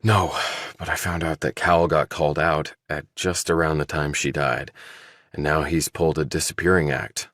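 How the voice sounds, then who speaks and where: A man's voice: rumbling low, fairly even intonation, moderate pace, a bit bright, dry recording, studio quality. A man voicing a guarded, probing ex-soldier turned investigator, terse and questioning, in an audiobook. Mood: defensive. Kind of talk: audiobook character dialogue.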